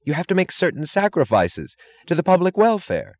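A man's voice: high-pitched, very animated, moderate pace, a little mellow, muffled recording, pitched above the performer's own voice. A man voicing a righteous, avid bureaucrat who preaches duty in a fervent way. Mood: righteous, urgent.

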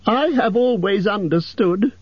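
A man voicing a small, timid but clever male hobbit who talks in a high, flattering squeak. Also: measured pace, husky, no echo, exaggerated voice. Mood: nervous. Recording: dull lo-fi recording.

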